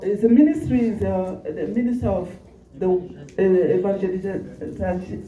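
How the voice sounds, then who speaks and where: A man interpreting a sermon into English live, halting, with many restarts and fillers. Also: high-pitched, highs cut off, a crowd in the background. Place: church hall. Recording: echoey hall from far off.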